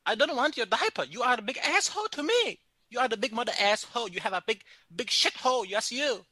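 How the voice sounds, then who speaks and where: A man's voice: very high-pitched, animated, fast, no room sound, pitched above the performer's own voice, heavily acted. Man doing a furious broken-English restaurant woman. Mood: indignant. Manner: broken English, shouting insults, stammering. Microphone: phone call.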